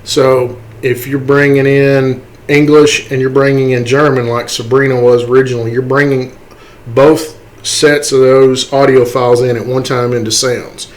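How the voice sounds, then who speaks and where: A man instructing in a casual, step-by-step software tutorial, explaining things and often checking in with short tag words. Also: medium pitch, flat delivery, moderate pace, poor audio.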